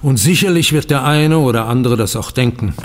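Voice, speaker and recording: A man's voice: medium pitch, brisk, lightly acted. A man playing a courteous, anxious banker in a German radio play, speaking in formal, flowery phrases. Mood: rueful. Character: polite, anxious male banker in financial ruin. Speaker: actor in an audio drama. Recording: long room reverb.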